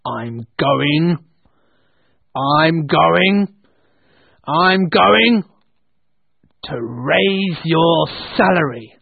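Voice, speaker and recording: A man voicing an old miser turned joyful, booming in animated storybook style. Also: moderate pace, husky, no echo. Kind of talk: animated storybook character acting. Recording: thin phone-like band-limited sound.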